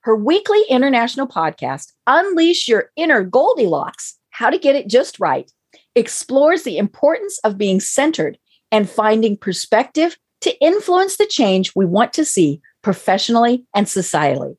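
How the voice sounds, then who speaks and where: A woman's voice: a little high, very animated, normal speed, husky. A woman hosting a business interview show, bubbly and gushing, with frequent fillers. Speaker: host.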